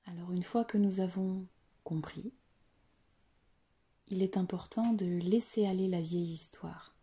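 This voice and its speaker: A woman leading a guided yoga nidra meditation in a soft, breathy voice that often nears a whisper, soothing and deliberate, with long pauses. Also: on the low side, fairly even intonation.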